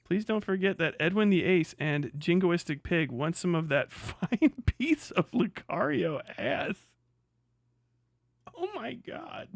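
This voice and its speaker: A man's voice: high voice, very animated, slow pace, creaky, no echo, clean studio sound. A young man hosting a casual live stream, reacting with sardonic, profane, incredulous commentary and frequent laughter. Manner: sardonic, swearing, laughing often, incredulous outbursts. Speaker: streamer host.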